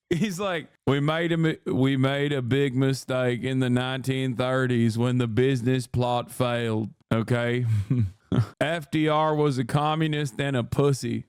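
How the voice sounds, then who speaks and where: A man's voice: medium pitch, fairly even intonation, slow pace, clean tone, no echo, clean studio sound. A man in his thirties streaming casual commentary, reading news text aloud and mocking it with sarcastic, profane asides.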